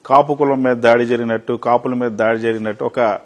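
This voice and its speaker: A man's voice: medium pitch, fairly even intonation, brisk. A man working as a TV interviewer, putting long, respectfully addressed questions in a news interview.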